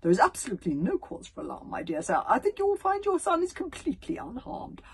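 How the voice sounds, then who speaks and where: A woman acts out a vain middle-aged male ex-hero from a storybook. He speaks in flowery, grand phrases with lively, wide-ranging pitch, utterly pleased with himself. Faint noise sits behind the voice. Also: fast talker. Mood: smug boasting. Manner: self-satisfied, flowery, grand.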